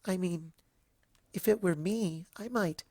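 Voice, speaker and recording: A shy female pegasus pony, voiced by a narrator, high and quiet. She speaks gently and hesitantly, as if afraid to be noticed. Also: slow pace, dry recording, clean studio sound. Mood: timid sympathy. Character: timid, soft-spoken female pegasus pony.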